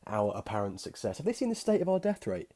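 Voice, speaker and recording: A man's voice: lowish voice, huge pitch swings, quick pace, mellow. A man acting an angry, exasperated diarist in a scornful, sweary monologue. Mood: angry, fed up. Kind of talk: acted diary rant.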